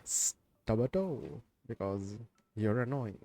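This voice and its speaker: A man streaming a game, chatting in a laid-back, rambling way with lots of fillers, his voice a bit raspy. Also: fairly low pitch, lively intonation, slow pace, warm tone, no room sound. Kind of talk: casual game stream chat.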